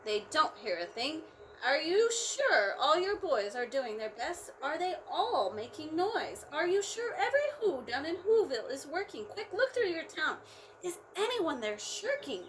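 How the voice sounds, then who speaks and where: A gentle, patient storybook elephant, read by a woman speaks in an earnest, reassuring way. The voice is high and bright and moves in a lively way. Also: unhurried, slight background noise, exaggerated voice. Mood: worried.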